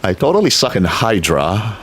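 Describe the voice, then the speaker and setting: A man's voice: lowish voice, big pitch swings, moderate pace, a bit bright, a little hiss or hum. A middle-aged man from the Philippines with an American accent, chatting casually on a game live stream and laughing often. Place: home computer setup. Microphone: computer mic, camera off.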